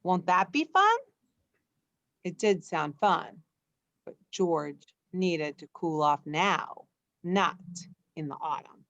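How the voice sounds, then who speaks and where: A woman reading a children's bedtime story aloud, putting expressive emphasis into the dialogue. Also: lowish voice, slow pace, vocal fry, dry recording, highs cut off.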